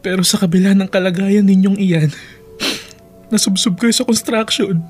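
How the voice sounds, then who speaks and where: A man's voice: high voice, steady tone, moderate pace, a little mellow, breathy edge, faint music behind. A man playing a dutiful eldest son who pleads earnestly with his father in an emotive radio drama. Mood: anguished.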